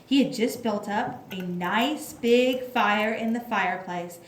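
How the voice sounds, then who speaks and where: A woman narrating a scripted children's puppet show in a theatrical, drawn-out way. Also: mid-range voice. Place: echoey room at a library. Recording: echoey room with clear background noise.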